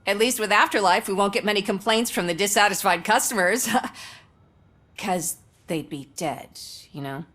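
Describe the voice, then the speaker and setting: A woman's voice: mid-range voice, normal speed, a bit bright, close to an everyday voice. A woman playing a breezy, cynical commercial actress in a video game, talking in a wry conversational way. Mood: wry, casual. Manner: wry, casual.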